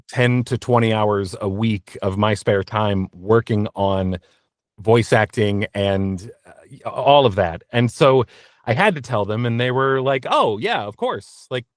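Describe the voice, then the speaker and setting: A man's voice: on the low side, no echo. Earnest man in a close, dry recording. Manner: earnest, reflective, halting with self-corrections. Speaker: interview guest, voice actor and narrator. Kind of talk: candid conversational interview answers.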